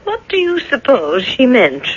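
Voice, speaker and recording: An actress playing an anxious older mother in a radio drama, insistent and quick to fear the worst. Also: fairly high pitch, wildly swooping pitch, slightly rough, exaggerated voice. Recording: dull old radio sound, faint noise.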